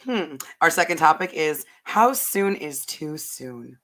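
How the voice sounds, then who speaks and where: A woman co-hosting a casual podcast chat, candid and animated, her voice a bit hoarse from having partly lost it. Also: sits low, slow. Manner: animated and candid, uses "like" a lot. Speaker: podcast co-host.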